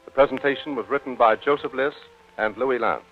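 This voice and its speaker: A man announcing credits in a formal, measured 1940s radio style. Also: medium pitch, steady tone. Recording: tinny 1940 radio broadcast, faint music.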